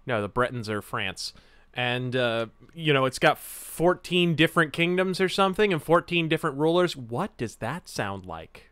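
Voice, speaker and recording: A man's voice: medium pitch, lively intonation, moderate pace, fairly bright tone, smooth, dry recording. A man giving sarcastic, crude running commentary while playing a video game. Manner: sarcastic, snarky, crude jokes. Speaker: let's play host.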